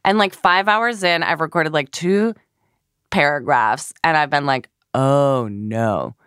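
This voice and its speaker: A woman co-hosting a podcast, telling stories in a chatty, animated way with lots of fillers. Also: low-pitched, slow, smooth, no room sound, clean studio sound. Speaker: podcast co-host. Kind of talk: casual chat.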